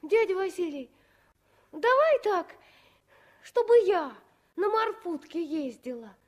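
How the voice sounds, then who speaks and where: Casting: small eager girl, extremely high childlike voice, slow earnest delivery, vintage radio play. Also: sing-song, highs cut off, exaggerated voice. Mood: pleading. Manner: childlike, wondering, slow and emphatic. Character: earnest, eager little orphan girl among sailors. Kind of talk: 1960s Soviet radio drama acting.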